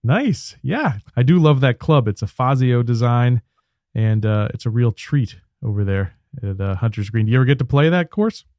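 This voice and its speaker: A man hosting a radio interview show, chatting casually and warmly with his guest, with fillers and restarts. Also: mid-range voice, expressive, normal speed, no echo, dull audio.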